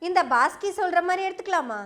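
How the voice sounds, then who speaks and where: A woman's voice: very high voice, expressive, fast, sharp tone. A woman giving an opinion monologue to camera as a political commentator, sarcastic and full of rhetorical questions.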